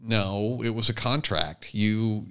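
A man's voice: fairly low pitch, slow pace, a bit bright, clean tone. Blunt man in casual podcast discussion. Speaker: podcast co-host.

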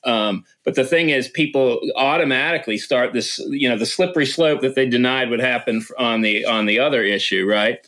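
Male host, mid-pitched, rambly, filler-heavy, restarts often. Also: brisk, hardly any pauses, a bit bright. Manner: rambling, many fillers, frequent "yeah" backchannels. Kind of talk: casual discussion.

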